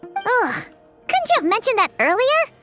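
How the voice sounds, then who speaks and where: A little sprite companion from a video game, with a tiny, squeaky child's voice pitched extremely high. Her delivery leaps around with lively intonation and exclamations, chirpy but quick to get exasperated. Music plays clearly behind her. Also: full character voice. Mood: weary, grumbling. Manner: speaks of herself in third person, exclamatory. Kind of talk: animated video game dub acting.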